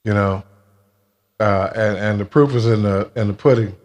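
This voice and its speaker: A man speaking in a casual monologue, with a halting, blunt and emphatic way of talking. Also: lowish voice, fairly even intonation, a little mellow. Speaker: video creator telling a personal grievance.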